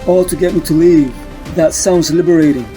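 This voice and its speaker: A man playing a resolute, brooding superhero in a comic dub, measured and grave. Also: on the high side, mellow, faint music behind, lightly acted. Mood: defiant resolve. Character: resolute male alien superhero, brooding and defiant. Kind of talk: dramatic comic dub acting.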